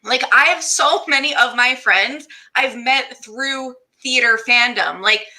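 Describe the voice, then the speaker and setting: Female host, bright and forceful tone, slightly high pitch, effusive, chatty interview delivery. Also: unhurried, rough recording. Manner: effusive, encouraging, uses "like" often. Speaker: show host interviewing guests. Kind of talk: enthusiastic conversational interview.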